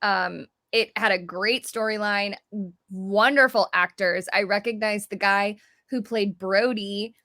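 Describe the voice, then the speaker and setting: A woman's voice: mid-range voice, steady tone, unhurried, pushed and bright, dry recording. A woman giving her chatty, enthusiastic take as a panelist in a casual podcast discussion.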